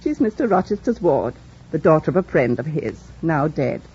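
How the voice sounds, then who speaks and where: A woman's voice: deep voice, big pitch swings, moderate pace, mellow, husky, heavily acted. An actress playing a kindly, fussing older housekeeper in a vintage radio drama, motherly, genteel and chatty. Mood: amused, kindly. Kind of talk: 1940s-50s radio drama acting. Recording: dull vintage radio recording.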